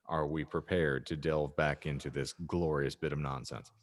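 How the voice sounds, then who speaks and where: A man's voice: rumbling low, steady tone, normal speed. A man hosting a podcast in casual chat, with a wordy, mock-grand way of phrasing things. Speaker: podcast host.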